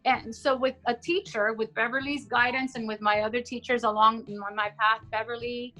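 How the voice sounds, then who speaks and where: A woman's voice: a little high, fairly even intonation, moderate pace, faint music behind, poor audio. A woman in her forties, born in Cuba, chatting about her life as an opera singer over a video call from home, with many fillers. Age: 40s. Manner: chatty, warm storytelling with fillers. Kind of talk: casual video-call interview. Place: home room. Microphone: video call, laptop camera.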